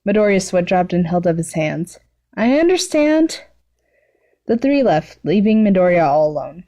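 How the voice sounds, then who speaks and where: Female story narrator, warm relaxed tone, slightly low pitch, amateur fan fiction reading. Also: brisk. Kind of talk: fan fiction read aloud.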